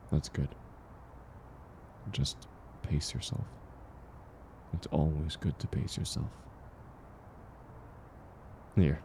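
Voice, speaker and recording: A man acting a kind, gentle stranger in an intimate audio roleplay, speaking reassuringly and unhurriedly to the listener. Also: a bass voice, little pitch movement, a little hiss or hum, full character voice. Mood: caring, encouraging. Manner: soft-spoken, reassuring, unhurried. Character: kind, gentle man, once homeless, sharing dinner with a stranger.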